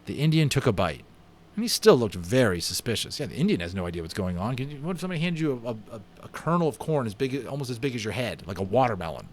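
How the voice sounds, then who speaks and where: A man's voice: mid-range voice, very animated, quick pace. A man reading a children's story aloud with enthusiastic, dramatic expression and casual asides. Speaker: amateur voice actor reading a children's book aloud.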